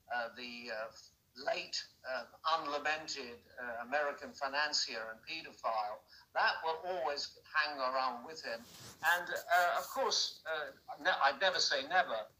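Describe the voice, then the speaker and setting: Male royal pundit, sharp and penetrating tone, higher-pitched, florid and emphatic delivery, faintly noisy recording. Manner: emphatic, florid, theatrical phrasing. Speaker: royal commentator interviewed on a news show. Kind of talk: broadcast interview commentary.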